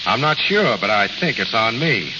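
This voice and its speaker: Male actor for shrewd American gang leader, radio play; lively intonation, gangster slang, reverberant old recording. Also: medium pitch, normal speed, natural voice. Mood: wry, persuasive. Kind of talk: 1930s-40s radio drama acting. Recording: dull, noisy vintage radio recording, long reverb.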